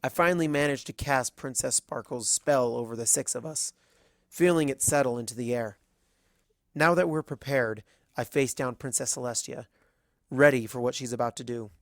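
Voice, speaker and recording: A narrator reading fan fiction aloud as expressive scripted story narration. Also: mid-range voice, moderate pace, dry recording.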